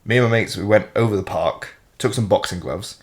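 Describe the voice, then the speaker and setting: A man's voice: on the low side, a little fast. A man, chatting casually as host, rambling with lots of fillers and the odd swear word. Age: 20s. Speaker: fitness coach and host. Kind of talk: casual chat.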